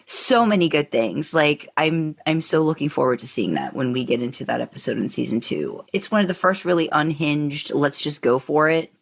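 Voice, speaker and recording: Woman, deep-pitched voice, podcast co-host, casual fan talk, enthusiastic, emphatic delivery. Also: expressive, normal speed, vocal fry, hardly any pauses.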